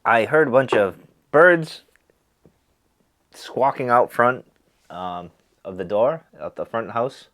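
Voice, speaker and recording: A man's voice: medium pitch, slow pace, long pauses, a bit bright. A man with a stuffy, nasal voice, chatting casually with lots of fillers and restarts. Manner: rambling, easygoing, many fillers and restarts. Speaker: pipe smoking video host. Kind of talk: casual chat.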